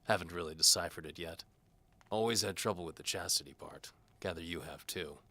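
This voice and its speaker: Deep, sardonic medieval mercenary played by a man. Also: fairly quick, sharp tone, dry recording, exaggerated voice. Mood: wry. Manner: sardonic, wry. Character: cynical, sarcastic down-on-his-luck medieval mercenary bowman, hard-boiled narrator-hero. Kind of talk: first-person noir audio drama narration.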